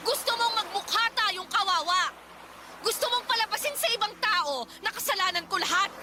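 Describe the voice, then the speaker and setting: A woman acting a combative, bitter aunt in a radio drama, loud, sarcastic and accusing. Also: very high voice, animated, moderate pace, very noisy. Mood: angry, sarcastic. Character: combative, bitter, proud aunt in a family quarrel.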